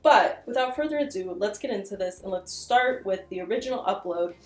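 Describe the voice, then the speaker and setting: A woman's voice: mid-range voice, lively intonation, fairly quick. Echoey, casual woman video essayist. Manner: informal, self-deprecating, slangy. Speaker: YouTube commentator, video essay host. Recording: roomy echo, music underneath. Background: background music.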